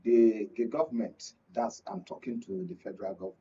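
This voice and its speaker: A man with a Nigerian accent and a raspy voice, commenting as a journalist on a panel. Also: fairly low pitch, sing-song, a little fast, warm tone, highs cut off, slight background noise. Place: radio and TV studio.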